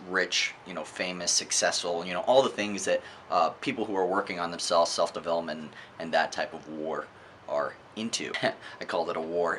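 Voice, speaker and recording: A man's voice: deep voice, fairly bright tone, a little hiss or hum. A man speaking casually and emphatically in an opinionated video monologue as a book-reviewing host, repeating words for effect and swearing freely. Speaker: vlog host reviewing books.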